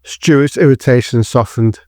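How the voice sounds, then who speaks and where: A man's voice: medium pitch, measured pace, a little mellow, no echo, studio quality. A man narrating a scripted dramatic story as the narrator of an audio drama.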